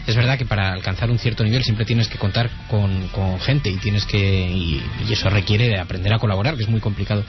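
A man's voice: on the low side, fairly even intonation, fairly quick, hardly any pauses. Male interviewer, hesitant long questions, muffled sound, faint music. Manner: hesitant, many fillers. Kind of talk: radio interview.

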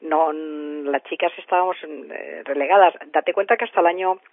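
An older woman in her seventies speaks with a Madrid Spanish accent through a narrow, phone-like radio sound. Her voice sits fairly low for a woman. She reminisces as an interview guest, rambling and repeating words for emphasis. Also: moderate pace, dry recording. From Madrid, Spain. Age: 70s. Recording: thin telephone-like radio sound, dry.

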